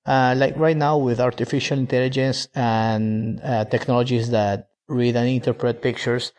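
A man from Venezuela, a company founder, answering interview questions in a halting way with many fillers and restarts. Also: mid-range voice, steady tone, darkish tone.

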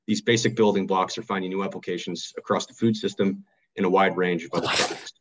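A man's voice: on the low side, husky, muffled recording. A man hosting a webinar, reading a short scripted intro and then moderating with fillers and restarts. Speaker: webinar host.

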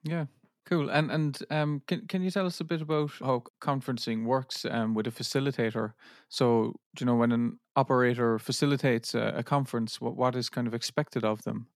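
A man hosts a conversational podcast interview, putting questions to his guest. His voice is mid-pitched, recorded close and dry with hardly any room sound. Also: fairly even intonation, a little fast, no room sound.

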